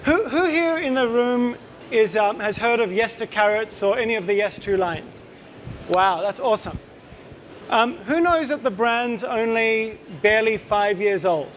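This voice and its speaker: High-voiced Australian man giving a talk. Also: animated, unhurried, a bit bright, slight background noise. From Israel. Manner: casual, chatty, joking, quizzes the audience. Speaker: company co-founder giving a talk. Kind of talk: conference presentation to a live audience. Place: conference room.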